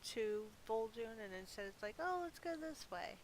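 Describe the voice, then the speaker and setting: A woman's voice: a little high, expressive, normal speed, poor audio. A middle-aged woman giving casual, rambling gameplay commentary in a chatty, self-amused way, as if thinking aloud. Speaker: gaming video host. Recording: clearly audible background hiss.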